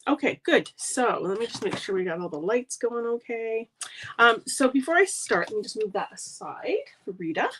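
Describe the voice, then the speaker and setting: A woman's voice: fairly high pitch, lively intonation, normal speed, fairly bright tone, slight background noise. A middle-aged woman, nasal from a cold, hosting a live card-making demo at her craft table in a friendly, rambling way. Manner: friendly, rambling, gushes over paper. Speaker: stamping demonstrator hosting a live craft video.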